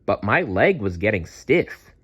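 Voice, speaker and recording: Man as a deep, gentle giant dinosaur. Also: very animated, husky, lo-fi sound, heavily acted. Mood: apologetic. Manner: polite, measured. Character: huge, gentle, thoughtful male long-necked dinosaur.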